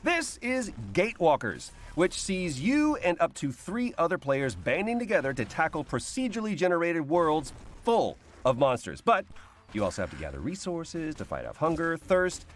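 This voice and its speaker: A man's voice: a little high, very animated, moderate pace. A man co-hosting a video game showcase, presenting scripted comedy in a playful, theatrical, jokey way.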